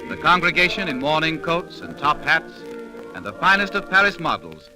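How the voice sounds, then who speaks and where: A man's voice: a little high, sing-song, normal speed, poor audio. A man narrating a scripted 1930s radio program in a dramatic, formal storytelling manner. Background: music.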